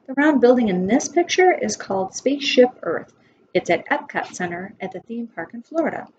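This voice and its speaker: American woman reading aloud, dull lo-fi sound. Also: on the high side. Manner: instructive, asks listeners questions. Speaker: preschool teacher. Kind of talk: read-aloud of a children's nonfiction book with asides. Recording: dull lo-fi webcam sound, background noise.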